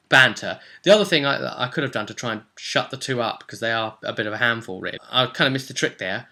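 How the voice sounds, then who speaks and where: A man hosting as game master, casually recounting a role-playing session with emphatic, animated storytelling. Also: fairly low pitch. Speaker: game master and vlog host.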